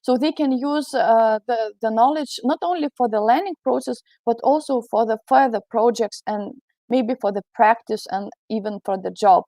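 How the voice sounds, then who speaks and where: A woman's voice: a little high, moderate pace, mellow, dry recording. A woman with a Lithuanian accent giving a conference talk as a university lecturer, hesitant and full of fillers and restarts. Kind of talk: conference presentation.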